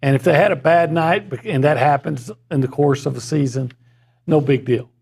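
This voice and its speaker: Gravelly, husky male sports columnist. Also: fairly high pitch, darkish tone. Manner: measured, analytical, dry asides. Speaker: sports columnist and regular guest. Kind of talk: casual sports talk chat. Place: broadcast studio.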